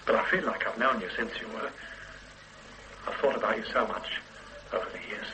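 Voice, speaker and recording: A man playing an older father on the run in a radio drama, soft and halting as he pleads with his grown daughter. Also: fairly low pitch, slight background noise, poor audio. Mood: wistful.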